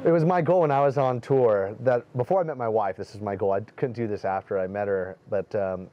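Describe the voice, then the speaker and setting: A middle-aged male host talks quickly in a lowish voice, eagerly trading stories and questions. The recording is somewhat dull and lo-fi, made outdoors on a garden terrace. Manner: talkative, tells anecdotes, enthusiastic. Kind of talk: casual interview chat.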